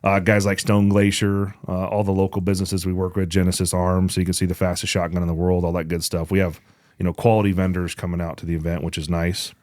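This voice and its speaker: A man's voice: deep voice, level tone, quick pace, darkish tone, no room sound, clean studio sound. A man hosting a podcast with casual chat and announcements, blunt and joking, with plenty of swearing. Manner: blunt, profane, joking banter. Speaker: podcast host.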